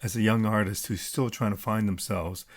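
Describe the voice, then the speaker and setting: A man's voice: lowish voice, fairly even intonation, moderate pace. A man hosting a podcast, asking follow-up questions and making brief, attentive interjections. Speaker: podcast host.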